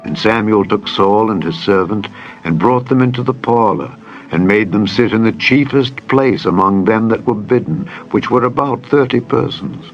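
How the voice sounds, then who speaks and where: A man reading dramatized Bible narration in a solemn, measured storytelling manner. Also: on the low side. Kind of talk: dramatized scripted scripture narration. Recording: dull lo-fi audio over music. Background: music.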